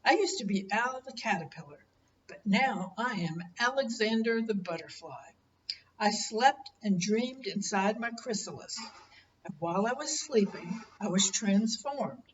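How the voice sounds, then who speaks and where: A woman voicing a gentle, noble male monarch butterfly in a storybook read-aloud, speaking calmly and kindly. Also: medium pitch, unhurried, recorded from a distance, lo-fi sound, a little hiss or hum, lightly acted.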